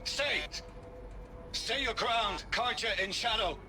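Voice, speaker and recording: A man's voice: sits high, takes it slowly. An actor playing a proud, pious male religious zealot in a video game, with a theatrical, solemn and reverent delivery. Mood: alarmed command. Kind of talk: scripted video game acting. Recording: music clearly behind.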